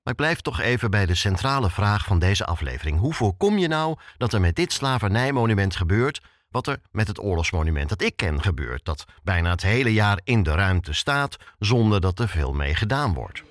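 A man's voice: on the low side, big pitch swings, moderate pace, no room sound, clean studio sound. A man hosting a podcast, narrating conversationally and addressing the listener in an informal, direct way. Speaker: podcast host.

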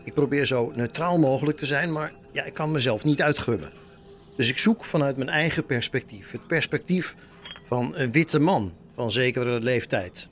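A man's voice: mid-range voice, normal speed, a bit bright, soft background music. A man in his fifties narrating a personal podcast from a script, as its host and reporter. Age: 50s.

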